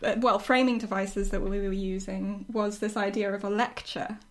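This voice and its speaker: A woman's voice: mid-range voice, fairly even intonation, normal speed. A woman chatting casually and reflectively as a writer looking back on a show, in an agreeable, conversational way. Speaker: writer discussing their own show.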